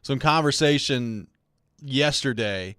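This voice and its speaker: A man's voice: medium pitch, slow pace, a bit bright, smooth, no room sound. Dry-sounding male sports radio host. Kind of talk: casual sports talk.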